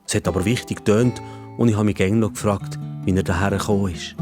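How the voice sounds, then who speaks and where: A man's voice: fairly low pitch, steady tone, quick pace, over music. A man with a Swiss German accent telling a children's story in the first person.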